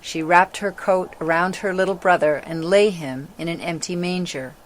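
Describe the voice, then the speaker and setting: A woman's voice: fairly low pitch, moderate pace, a little hiss or hum, rough recording. A female narrator reading a scripted children's story in a dramatic storytelling style.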